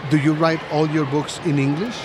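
Man, live interpreter, halting phrasing, slightly high voice, clear traffic and city noise. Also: fairly even intonation, crowd noise. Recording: clear street and vehicle noise behind.

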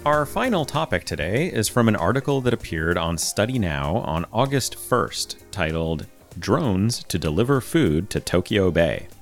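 A man's voice: deep voice, normal speed, faint music behind. A man hosting a casual podcast, chatting easily and reading out listener topics and news items. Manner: relaxed, conversational, reads out topics. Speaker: podcast host.

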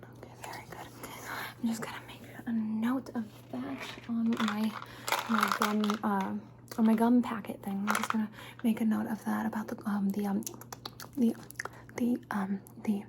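A woman's voice: fairly high pitch, creaky, noisy background. A woman performing an ASMR personal-attention roleplay, soothing and playful, repeating words and short phrases over and over.

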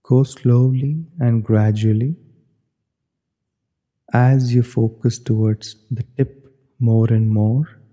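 A man leading a guided meditation as an instructor, giving measured, step-by-step directions. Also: mid-range voice, steady tone, mellow. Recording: long hollow reverb.

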